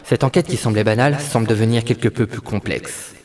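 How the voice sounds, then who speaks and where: A man's voice: mid-range voice, brisk, darkish tone, lightly acted. A man playing a weary, wry and stubborn 1930s private detective in a French audio drama, narrating and talking in noir style. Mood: brooding narration.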